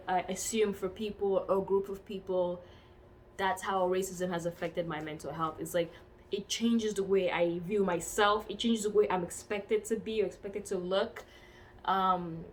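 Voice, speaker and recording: A woman's voice: medium pitch, steady tone, a little slow. A woman in her twenties answering interview questions in a casual, thoughtful way. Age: 20s. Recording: faint background noise.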